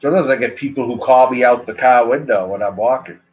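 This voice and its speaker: A man's voice: mid-range voice, fast. A man from Maine with a Maine accent, chatting loosely to livestream viewers as a cigar reviewer, meandering and full of "like" and "you know.". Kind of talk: rambling casual livestream chat. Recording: dull lo-fi livestream audio.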